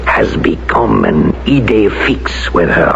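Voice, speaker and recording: An actor playing a courtly, duplicitous French resistance veteran, speaking formally and with measure in a radio drama. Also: male voice, lowish voice, lively intonation, slow, creaky, exaggerated voice. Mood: coldly urging. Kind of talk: old-time radio drama acting. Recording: muffled, noisy old radio broadcast.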